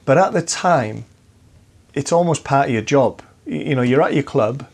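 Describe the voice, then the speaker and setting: A man's voice: medium pitch, animated, normal speed, darkish tone, husky. Conversational male podcast host. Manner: conversational, prompting questions with brief agreements. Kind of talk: casual conversation.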